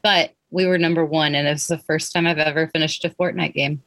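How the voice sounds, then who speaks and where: A woman's voice: sits low, steady tone, brisk, a bit bright, dry recording. Woman chatting casually, dry close sound. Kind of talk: casual group chat. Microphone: online voice chat.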